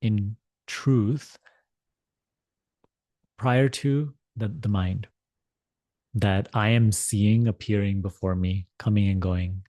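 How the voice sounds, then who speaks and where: A man with a fairly low voice leads a guided meditation in a dry, close sound. He is measured and deliberate, often repeating a phrase and leaving space between thoughts. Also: fairly even intonation, dark tone, no room sound. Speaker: meditation teacher leading a guided meditation. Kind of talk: guided meditation instruction, spiritual talk.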